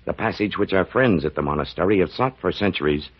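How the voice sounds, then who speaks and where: A man playing an earnest, anguished doctor and father in an old radio adventure, speaking gravely and emphatically. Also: low voice, animated, fast. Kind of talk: 1940s radio adventure acting. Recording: dull old radio recording, crowd noise behind.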